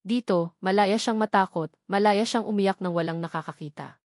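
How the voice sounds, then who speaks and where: A woman reading a dramatic story in measured, scripted narration. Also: mid-range voice, a little mellow, dry recording, clean studio sound.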